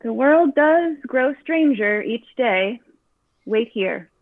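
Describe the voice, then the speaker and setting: Lady doing regal wry sorceress gatekeeper, highish voice, tinny phone-like audio. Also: lively intonation, slow pace, dry recording, exaggerated voice. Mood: stately, commanding. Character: tall, regal, amused woman gatekeeper of a magical order. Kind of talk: table read of a fantasy screenplay. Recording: thin phone-like call audio.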